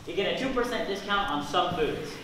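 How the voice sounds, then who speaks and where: A man's voice: sits high, expressive, a little fast, sharp tone. A young man playing a jaded, dismissive supermarket assistant manager in an amateur stage comedy. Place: theatre stage, distant camera. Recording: echoey stage from far off, faint noise.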